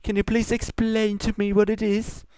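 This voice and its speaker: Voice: medium pitch, completely flat delivery, moderate pace, dark tone, smooth, dry recording, voice pushed up, lightly acted. A reader voicing a haughty, regal pony princess with a British accent and a formal, indignant manner in a comedy fan fiction reading. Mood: wary.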